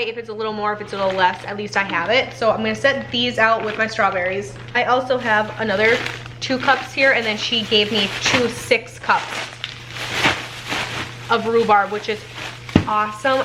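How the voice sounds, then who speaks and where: A young woman vlogging casually from her home kitchen, upbeat and rambling, a little congested from a head cold. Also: a little high. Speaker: homestead cooking vlogger. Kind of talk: casual kitchen vlog chat. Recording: echoey kitchen, faint room noise.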